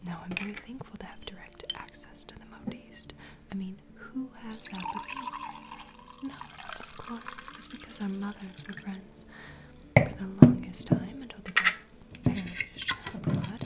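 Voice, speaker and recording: A woman's voice: mid-range voice, unhurried, lightly acted. Woman playing a whispering, secretive female vampire bartender. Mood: gossipy, wistful. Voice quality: soft, breathy whisper. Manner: intimate, confiding, gentle. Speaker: ASMR roleplay performer. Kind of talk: whispered ASMR fantasy roleplay.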